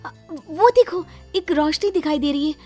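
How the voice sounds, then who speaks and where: A woman's voice: sits high, expressive, moderate pace, dark tone, faint music behind, pitched above the performer's own voice. A woman voicing a mysterious young bride-to-be lost in a forest, pleading and girlish, in a horror story. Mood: alarmed. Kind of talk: audio horror story acting.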